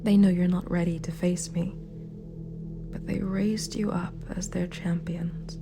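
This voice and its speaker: Villain ruler, fallen hero female actor: very even, unhurried half-whisper, regretful and dignified, ASMR roleplay over dark music. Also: fairly low pitch. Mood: cold, sorrowful. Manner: hushed, breathy, measured, formal. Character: remorseful, sympathetic villain ruler, once a hero. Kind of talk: scripted ASMR roleplay monologue. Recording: clear background music. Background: dark, spooky background music.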